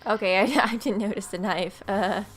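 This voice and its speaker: A woman's voice: mid-range voice, normal speed, soft background music. A young adult woman hosting a gaming video, reading a visual novel aloud and adding her own asides.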